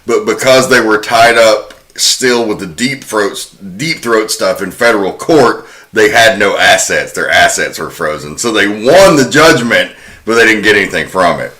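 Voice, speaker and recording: A man's voice: fairly low pitch, animated, normal speed, pushed and bright. A man hosting a podcast, giving prepared commentary on film history. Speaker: podcast host.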